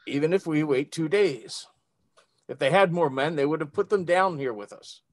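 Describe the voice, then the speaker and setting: Higher-voiced man at a game table. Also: moderate pace, no echo, clean studio sound. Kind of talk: casual game-table discussion.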